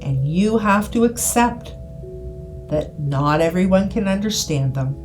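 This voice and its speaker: A woman reading a scripted guided hypnosis session in a soothing, deliberate manner, with repeated phrases and pauses. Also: on the low side, expressive, a little mellow. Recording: voice over background music. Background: background music.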